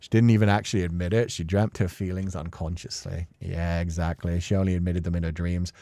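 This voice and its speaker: A man's voice: sits low, flat delivery, a little fast, dark tone, no room sound. A man giving casual, emotional commentary on a story game, rambling informally through his analysis as a streamer. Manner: informal, swearing, rambling analysis with acted-out paraphrases.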